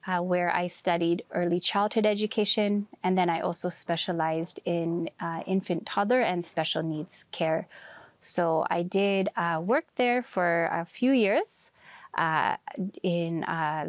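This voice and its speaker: A woman in her thirties from Canada, telling her life story as an interview guest in an earnest, gentle way with many soft fillers. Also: on the low side, no room sound. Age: 30s.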